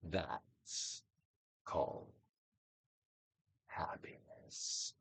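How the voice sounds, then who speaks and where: A man reading a self-help book aloud as a dramatic, guided-meditation narration, with deliberate actorly emphasis, swelling and dropping to a hush. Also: no echo, whispered. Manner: theatrical, deliberate actorly delivery with emphatic swells and hushed drops.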